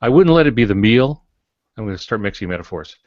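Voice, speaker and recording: Older man, low folksy voice. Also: lively intonation, fast talker, dry recording. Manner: folksy, given to homespun metaphors. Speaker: podcast co-host and writing mentor. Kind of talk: casual advisory podcast talk. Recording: dull, lo-fi, dry podcast audio.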